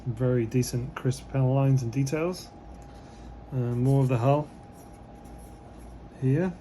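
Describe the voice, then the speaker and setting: A slightly hoarse man, losing his voice, giving relaxed, rambling commentary as he unboxes a model kit, with many fillers. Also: mid-range voice, measured pace, a little hiss or hum.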